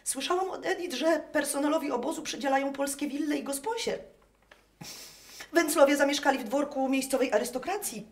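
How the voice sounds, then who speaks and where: Anguished scornful wife, theatrical radio drama, very high voice, roomy echo. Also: female voice, a little fast, darkish tone, a little hiss or hum. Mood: bitter, subdued. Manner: theatrical, emotional, mocking. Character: distraught, scornful German wife pleading with her scientist husband. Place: live performance inside a wooden barn. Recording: echoey barn stage recording.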